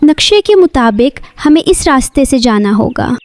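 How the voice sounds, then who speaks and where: A woman's voice: high-pitched, lively intonation, moderate pace, a little mellow, dry recording. A woman playing a sensible, brave girl leading a treasure hunt in a children's cartoon story. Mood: purposeful.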